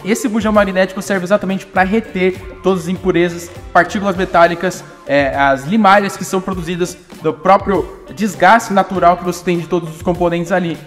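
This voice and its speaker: Insistent high-voiced young man explaining cars. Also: fairly even intonation, fairly bright tone, big-room sound, soft background music. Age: young adult. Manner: brisk, insistent, repeats key points. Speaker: car maintenance presenter. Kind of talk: explanatory how-to talk. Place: indoor auto workshop.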